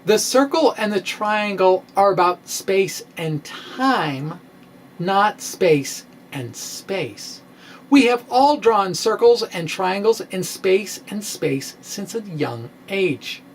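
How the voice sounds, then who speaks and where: A middle-aged man presenting a science video blog in an informal, halting, self-deprecating way, with emphatic swings, close to a microphone in a room at home. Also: sits high, expressive, a little hiss or hum. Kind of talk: informal explanatory lecture to camera, part reading. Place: small room at home with bookshelves. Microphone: microphone on a stand close in front.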